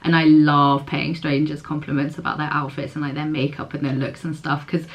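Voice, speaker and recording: A young woman with a husky, hoarse voice, chatting casually to camera in a vlog and using lots of fillers. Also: sits low, level tone, brisk. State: hoarse, recovering voice. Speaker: vlogger.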